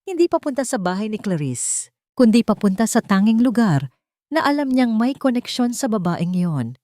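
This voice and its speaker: A woman narrating a dramatic love story in an expressive storytelling manner. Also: fairly high pitch, moderate pace, dry recording, studio quality.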